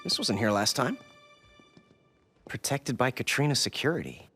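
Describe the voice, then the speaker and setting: An eager young hero from a video game, with a lively, mid-pitched voice. He sounds earnest and a little cheeky. Music runs behind him. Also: normal speed, husky. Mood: alert. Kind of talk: video game cutscene acting.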